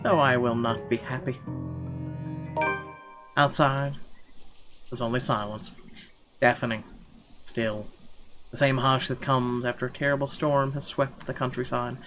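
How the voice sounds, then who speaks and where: A man playing through a visual novel, reading its narration aloud expressively and dropping casual, sweary remarks. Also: medium pitch. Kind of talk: gaming playthrough, dramatic read-aloud narration. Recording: music playing behind the voice. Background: game music.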